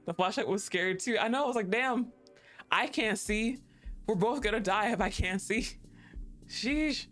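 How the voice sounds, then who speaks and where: A young woman chats casually on a stream, rambling from thought to thought with easy laughs and the odd swear. Her voice sits at a middling pitch on a close desk mic. Faint music plays underneath. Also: moderate pace, fairly bright tone. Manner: rambling, chuckles often, swears offhandedly.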